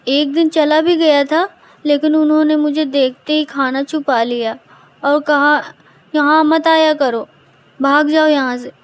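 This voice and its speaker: A woman's voice: high-pitched, fairly even intonation, measured pace. A woman voicing an innocent, hungry ten-year-old village boy in a story, speaking in a plaintive, childlike manner.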